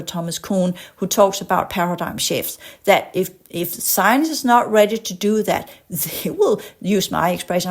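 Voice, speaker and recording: A woman's voice: fairly low pitch, a little mellow. A woman with a Danish accent hosts a podcast in English, her second language. She reads an article aloud point by point, then drifts into passionate tangents of her own. Long technical words sometimes trip her up. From Denmark. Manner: passionate, digresses into rants; stumbles over long words. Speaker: podcast host. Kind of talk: reading an article aloud with commentary.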